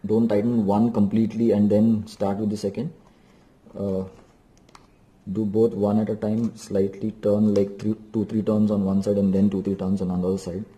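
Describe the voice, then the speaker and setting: A man's voice: on the low side, flat delivery, normal speed, warm tone. A man giving an unscripted hands-on tutorial, explaining step by step and repeating instructions for emphasis. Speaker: DIY vlogger.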